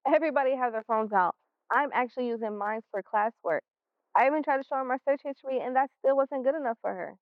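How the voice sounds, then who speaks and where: A woman's voice: sits high, fast, phone-quality sound. A woman playing a defiant, exasperated sixteen-year-old American schoolgirl in a stage play, arguing in slangy, defensive speech. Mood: defiant, annoyed.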